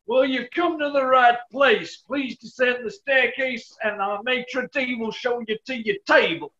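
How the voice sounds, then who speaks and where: A big, formal club doorman, acted by a man, welcomes in a strikingly high, bright voice. It is 1940s radio comedy acting, with a slightly dull lo-fi sound. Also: measured pace, heavily acted. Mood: welcoming. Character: large male nightclub doorman, formal and welcoming.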